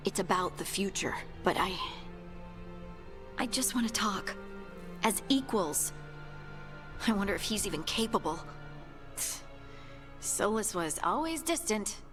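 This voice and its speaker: A woman's voice: medium pitch, animated, unhurried, pauses often, music in the background, lightly acted. A woman acting an earnest, conflicted young dwarf scout in a video game. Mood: reflective, resolute.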